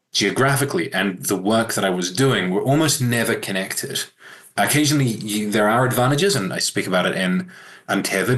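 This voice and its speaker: A middle-aged man with a New Zealand accent, telling stories as an author guest in a relaxed, self-deprecating way. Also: low-pitched. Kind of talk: conversational interview.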